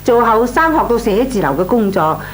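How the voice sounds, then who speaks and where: Sharp-tongued landlady, faintly noisy recording. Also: fairly high pitch, big pitch swings, fairly quick. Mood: lecturing. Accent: Hong Kong Cantonese. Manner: scornful, exclaiming, lecturing. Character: sharp-tongued, nosy middle-aged landlady, scolding and opinionated. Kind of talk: Cantonese radio comedy drama acting. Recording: noisy old radio recording with hum.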